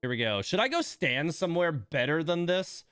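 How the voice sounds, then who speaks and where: A man livestreaming a game, musing aloud in a wry, halting, casual way. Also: on the high side, animated, a bit bright, smooth, dry recording, studio quality, faint music behind. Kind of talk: casual gaming stream commentary.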